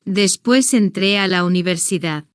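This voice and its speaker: Woman narrator for a learner story, slow and deliberate, dry close sound. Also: fairly low pitch, smooth, dry recording. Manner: slow, deliberate, evenly paced short sentences. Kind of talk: slow scripted first-person story narration for beginners.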